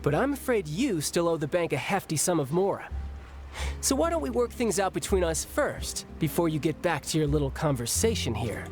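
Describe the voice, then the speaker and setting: A man's voice: a little high, big pitch swings, moderate pace. A man playing a cocky young bank enforcer in a video game, breezy, teasing and confident. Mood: coolly confident. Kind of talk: video game character acting. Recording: game music underneath.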